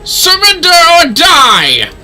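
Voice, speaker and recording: A man plays an arrogant fantasy game knight who blusters and barks. The voice is pitched up, very forceful and swings widely, with music behind it. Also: huge pitch swings, slow, heavily acted. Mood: indignant, barking. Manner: loud, haughty, barking. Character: blustering, arrogant male temple knight, bullying and indignant. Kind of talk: video game dialogue read aloud.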